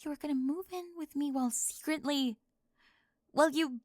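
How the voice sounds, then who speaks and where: A woman acting an organized, high-strung young cheerleader in an intimate audio roleplay, flustered, fussy and emphatic yet affectionate. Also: normal speed, a little mellow, no room sound, lightly acted. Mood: incredulous.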